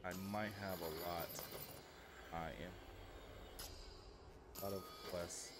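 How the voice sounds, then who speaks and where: A man's voice: deep voice, fairly even intonation, slow. A man livestreaming a game, chatting casually in a laid-back, rambling way. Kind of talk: casual livestream chat over gameplay. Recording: loud engine and game ambience noise. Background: loud spaceship game ambience.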